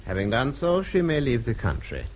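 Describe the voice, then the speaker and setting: An actor playing a cold, menacing senior official in a radio drama, speaking in a formal, clipped and precise way. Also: male voice, medium pitch, huge pitch swings, normal speed, slight background noise. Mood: coldly insistent.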